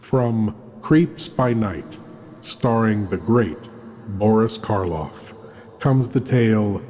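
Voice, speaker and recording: A man giving a scripted dramatic horror introduction, deliberate and savoring, pausing between phrases. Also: lowish voice, warm tone. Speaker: episode introducer. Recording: long cavernous reverb.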